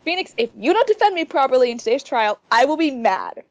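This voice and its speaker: A woman's voice: high voice, big pitch swings, fast, no room sound. A woman voicing a pouty, threatening young woman defendant with exaggerated girlishness in an amateur fan fiction reading.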